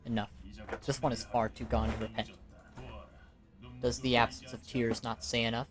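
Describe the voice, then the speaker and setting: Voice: mid-range voice, level tone, moderate pace, lightly acted. A narrator voicing a cold, zealous inquisitor who speaks formally and sternly of heretics, as in a story game. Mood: stern, righteous.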